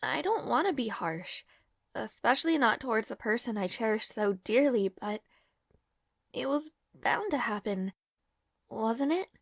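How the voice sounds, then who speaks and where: Hesitant, high-voiced young maid played by a woman. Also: lively intonation, a little slow, vocal fry, no echo, clean studio sound, full character voice. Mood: earnest, gently chiding. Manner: hesitant, flustered, apologetic, formal and polite. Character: devoted young maid to a noble lord, nervous and caring. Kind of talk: scripted audio roleplay, intimate fantasy drama.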